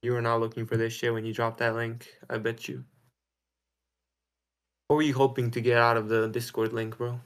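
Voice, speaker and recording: A man's voice: medium pitch, monotone, normal speed, long pauses, clear, resonant tone. A man on a voice call, defensive and irritated, swearing often. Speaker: caller. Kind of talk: heated argument on a voice call.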